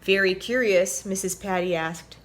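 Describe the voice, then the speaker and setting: A woman's voice: mid-range voice, moderate pace, a little hiss or hum. Woman reading a children's storybook aloud.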